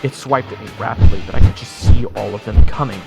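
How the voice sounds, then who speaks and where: A man's voice: mid-range voice, quick pace, creaky, loud music behind the voice, lightly acted. A man playing a wry, self-deprecating young soldier narrating his fantasy adventure in first person. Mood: excited, suspenseful.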